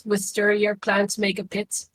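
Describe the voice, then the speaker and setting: A woman with a medium-pitched voice takes part in a tabletop game. She talks slowly and casually, pausing mid-phrase as she lays out an idea. The sound is dry. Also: completely flat delivery, fairly bright tone, no echo.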